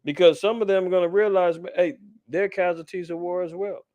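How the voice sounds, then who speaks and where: A man hosting an informal scripture teaching, talking in streetwise slang and animated asides. His voice sits a little higher than most men's. The recording is dry and close, with no room sound. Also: a little fast, no echo, lo-fi sound. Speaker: Bible teacher, podcast host.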